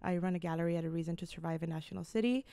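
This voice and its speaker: A woman's voice: fairly low pitch, fast talker, warm tone, smooth. A woman artist and teacher giving a brief informal introduction of herself on a handheld microphone. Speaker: artist, curator and art teacher. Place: indoor room, seated panel.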